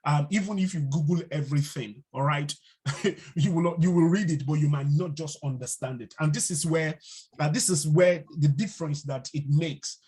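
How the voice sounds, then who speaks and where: A man teaching a live online cybersecurity class, unhurried and full of fillers, now and then putting questions to the class. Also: on the high side, a little mellow, breathy edge. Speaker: instructor. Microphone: video call mic.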